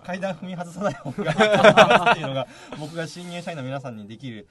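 A man's voice: a little high, quick pace, fairly bright tone. A man in his thirties chatting casually as a radio co-host, telling things at length in a rambling way. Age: 30s. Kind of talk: casual chat. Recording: faint music bed behind voice. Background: faint background music.

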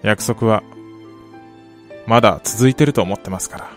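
An actor playing a calm but unforgiving older man in a radio drama, speaking politely and in a measured way. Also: fairly low pitch, soft background music, lightly acted. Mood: grave, restrained. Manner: slow, quiet, measured polite speech.

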